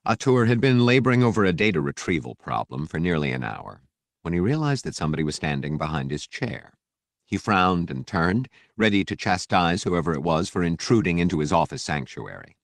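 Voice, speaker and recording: Man, deep voice, animated pitch, dramatic fiction narration, audiobook. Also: fairly quick, no room sound, studio quality. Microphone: close studio microphone.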